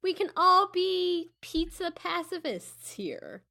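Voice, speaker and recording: A woman's voice: very high voice, big pitch swings, takes it slowly, vocal fry, sharp tone. A woman chatting casually and enthusiastically as a podcast host, with emphatic delivery. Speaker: podcast host and player in a tabletop game. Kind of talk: casual banter on a tabletop game podcast.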